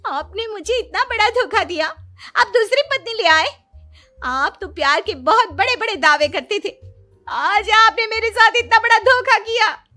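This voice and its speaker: A woman's voice: very high voice, unhurried, pushed and bright, soft background music, heavily acted. A woman voicing a quarrelsome young cartoon wife who is indignant, whining and easily offended. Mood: hurt. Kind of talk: cartoon dialogue.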